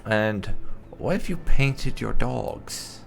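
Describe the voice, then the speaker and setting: A man's voice: medium pitch, expressive, slow, creaky, background noise. A man playing a roleplay video game, reading out his dialogue choices and adding wry, thoughtful remarks. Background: game music.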